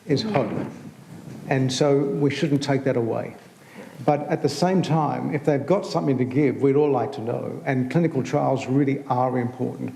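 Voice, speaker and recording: Gravelly-voiced man in a hall. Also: medium pitch, dark tone. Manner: measured, explanatory, frequent restarts. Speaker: clinician and researcher on a panel. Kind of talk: panel discussion answer. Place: large hall. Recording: echoey hall.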